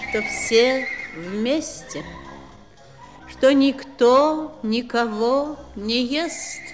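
A woman voicing a wise, solemn royal cobra in a 1960s audio play, speaking in a measured, incantatory manner. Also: on the high side. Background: instrumental music.

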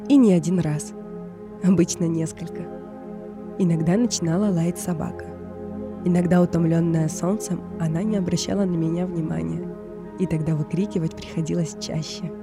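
Woman narrating reflectively over clear music. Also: fairly low pitch, steady tone, normal speed. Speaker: narrator of an audio performance. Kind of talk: scripted personal storytelling narration. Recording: music bed under the voice.

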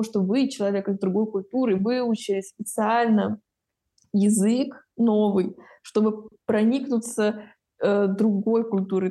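A woman's voice: mid-range voice, dark tone. A woman in her twenties explaining her views thoughtfully in a casual podcast conversation.

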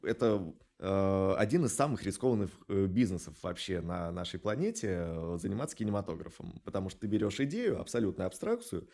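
A man answering as an animation studio director in an interview, explaining things in a halting, thoughtful way with drawn-out hesitations. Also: low-pitched, big pitch swings, a little mellow.

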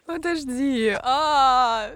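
Contestant voice, informal and conversational, working out answers out loud. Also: high voice, very slow. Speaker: quiz contestant. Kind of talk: casual chat, thinking aloud.

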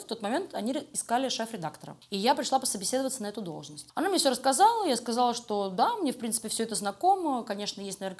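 A woman being interviewed, talking casually and telling stories in informal, slangy speech. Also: mid-range voice. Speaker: animation studio creative director being interviewed. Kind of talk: casual conversational storytelling interview.

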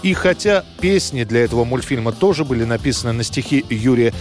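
A man narrating a scripted history programme in a measured, storytelling way. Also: medium pitch, expressive, faint music behind.

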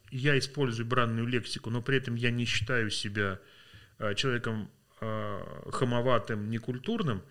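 A man's voice: mid-range voice, a bit bright. A man from Russia, a linguist guest on a podcast, talking thoughtfully with many hesitations and sounding tired. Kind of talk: podcast interview.

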